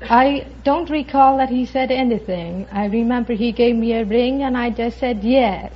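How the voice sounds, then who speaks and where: Higher-voiced woman, dull vintage recording. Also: moderate pace, slight background noise. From Iceland. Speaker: quiz show contestant. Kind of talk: answering interview questions.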